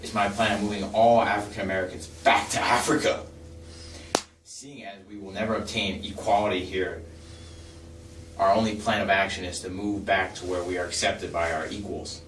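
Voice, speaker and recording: A man's voice: sits low, fairly even intonation, normal speed, fairly bright tone. A man playing a proud, touchy 1920s political leader being interviewed in an amateur sketch. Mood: firm. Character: proud, easily offended male 1920s political movement leader. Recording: echoey room, distant mic, faint noise.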